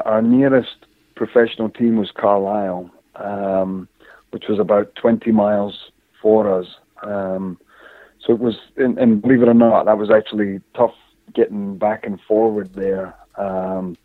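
A man's voice: lowish voice, dry recording. A man from Scotland, raised in northern England, recalling his football youth as an interview guest, talking hesitantly with many fillers and restarts. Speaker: guest, former football player. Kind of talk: casual interview, storytelling. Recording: dry phone-line audio.

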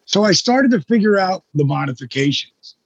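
A man in his sixties with a husky voice, a guest telling his story in a blunt, emphatic way with some swearing. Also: sits high, animated, normal speed, dull audio. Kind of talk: casual storytelling in an interview.

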